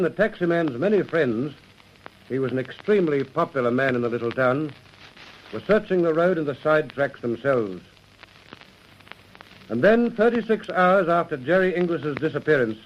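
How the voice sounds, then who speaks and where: An actor as the grave narrator of a radio crime drama. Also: male voice, a little high, natural voice. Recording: muffled old radio recording, faint noise.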